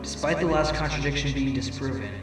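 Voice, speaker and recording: A man's voice: fairly high pitch, level tone, fairly quick, lightly acted. A man playing a scrappy, determined fox defense attorney in a courtroom audio drama, making his points in a pointed, argumentative way. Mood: determined, brooding. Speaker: voice actor in fan audio drama. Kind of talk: courtroom drama acting with inner monologue. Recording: music clearly under the voice.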